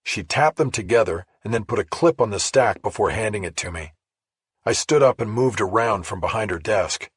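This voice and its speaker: A man's voice: lowish voice, animated, moderate pace, slightly rough, no echo, clean studio sound. A man reading a first-person crime thriller audiobook as scripted narration.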